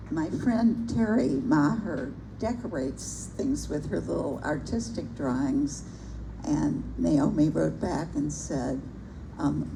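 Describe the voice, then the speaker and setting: An older woman with a soft, gentle voice, reading a favorite poem aloud at a bookstore event and talking about it reflectively. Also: low voice, creaky. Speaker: poetry reader at a public reading. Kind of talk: reciting a poem with personal remarks. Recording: noisy live event recording.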